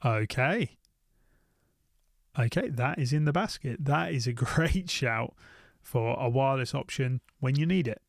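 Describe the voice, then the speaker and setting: A man's voice: medium pitch, expressive, unhurried, gravelly, no echo, studio quality. A British man giving casual, unscripted commentary as a gear reviewer, thinking aloud and often repeating his phrases.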